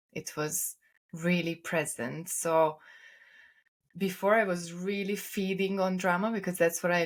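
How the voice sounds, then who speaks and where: A woman in a casual, reflective discussion as a podcast co-host, rephrasing as she goes. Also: on the low side.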